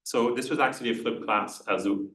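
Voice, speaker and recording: A man's voice: lowish voice, steady tone, brisk, a bit bright. A man with a Belgian accent gives a research talk as an engineering professor, hesitant and full of restarts and filler words. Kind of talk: conference talk with audience questions. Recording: echoey room, voice from far off the mic.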